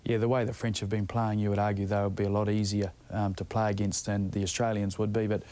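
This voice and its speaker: A man's voice: lowish voice, flat delivery, fast, mellow. A man giving off-the-cuff analysis as a sports pundit. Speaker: rugby pundit.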